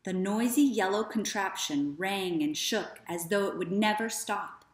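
A woman in her thirties reading a children's story aloud in a deliberate, expressive way. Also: mid-range voice. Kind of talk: children's read-aloud narration. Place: small living room, indoors. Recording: echoey living room.

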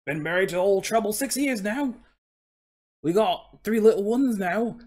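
High-pitched proud Cockney talking horse. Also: male voice, animated, a little slow, darkish tone. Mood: wistful, chatty. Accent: put-on Cockney. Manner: colloquial, deferential, boastful working-class patter. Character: proud, chatty, aggrieved male talking coach horse from London.

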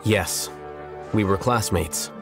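Aloof young game scholar: lowish voice, blunt, analytical, condescending, over prominent music. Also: male voice, slow, vocal fry. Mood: matter-of-fact. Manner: detached, precise, condescending. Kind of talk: video game voice acting.

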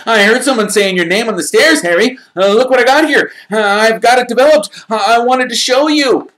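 A man voicing an excitable young schoolboy fan who gushes out breathless questions. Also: very high voice, pitched above the performer's own voice. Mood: eager. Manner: breathless, gushing, rapid questions. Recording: roomy echo.

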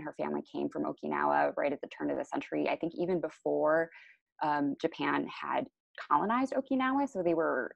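A young woman from the Chicago area presenting over slides on a video call, relaxed and full of ums and self-corrections. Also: sits low, gravelly, dry recording. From Chicago area, USA. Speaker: panelist. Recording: dull lo-fi video call audio.

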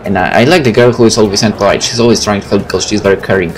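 A male gaming host, a native Polish speaker, with a fairly low voice. He reads game text and comments in a casual way. Soft music plays underneath. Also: steady tone, racing, poor audio. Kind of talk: game let's play, reading text aloud with casual commentary. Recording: faint game music behind.

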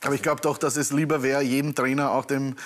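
A man's voice: medium pitch, level tone, quick pace. A man on a talk panel throwing in dry, teasing asides.

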